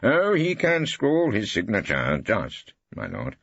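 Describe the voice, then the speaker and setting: A man's voice: on the low side, wildly swooping pitch, slow pace, vocal fry, a bit bright, slightly rough, no room sound, dull audio, voice pushed up, full character voice. A man playing a shrewd, combative old English barrister in an audiobook, insistent and given to pompous rhetorical flourishes. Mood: needling, rhetorical.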